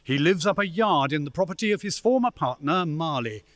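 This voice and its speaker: An actor narrating a radio play as a measured, dramatic storyteller of a gloomy ghost tale. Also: male voice, on the high side, expressive, dry recording, lightly acted.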